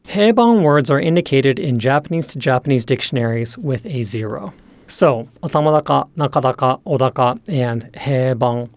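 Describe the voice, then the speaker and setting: A man's voice: mid-range voice, no room sound. Man teaching with very dry, close sound. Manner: measured, explanatory, enunciates key terms. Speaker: teacher presenting a language lesson. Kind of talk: scripted instructional narration.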